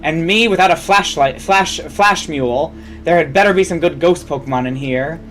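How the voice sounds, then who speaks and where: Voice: mid-range voice, moderate pace, fairly bright tone, faint music behind, natural voice. Sassy, easily startled woman put on by a reader. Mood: sarcastic. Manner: indignant, exclamatory. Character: sassy, easily startled woman trainer refusing to admit fright.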